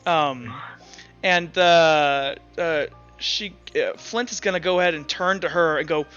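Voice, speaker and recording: A man narrating a tabletop roleplaying game as game master, improvising haltingly as he goes. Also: on the high side, fairly bright tone, clean tone. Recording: dull lo-fi audio under music.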